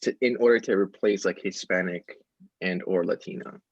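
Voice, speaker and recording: A man's voice: deep voice, fairly even intonation, normal speed, slightly rough, dry recording. A young man co-hosting a podcast, explaining casually in long run-on stretches. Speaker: podcast co-host. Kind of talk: casual discussion. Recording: dull, dry lo-fi mic.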